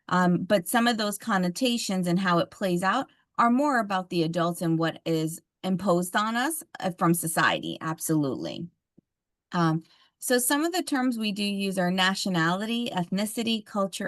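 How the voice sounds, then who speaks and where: A woman's voice: medium pitch, dry recording. Woman leading a training session, dry sound. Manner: explanatory, steady, answers questions at length. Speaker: training facilitator. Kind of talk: conversational training presentation.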